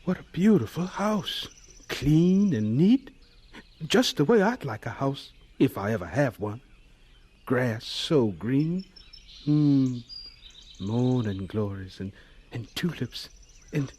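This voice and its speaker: Slow, reflective old professor. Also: male voice, medium pitch, animated, a little mellow, a little hiss or hum. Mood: admiring, musing. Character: reflective, humble elderly professor recalling his life. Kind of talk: radio drama acting.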